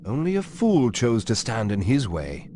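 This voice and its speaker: A man's voice: medium pitch, huge pitch swings, moderate pace, darkish tone. A man playing a nervous, deferential household servant in a video game, confiding in a formal, dramatic way over background noise. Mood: confiding, grave. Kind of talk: video game dialogue, storytelling.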